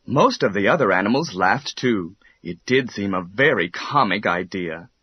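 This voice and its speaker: A man narrating a children's bedtime story in an expressive, measured storytelling manner. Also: fairly low pitch, slightly rough, no echo, highs cut off.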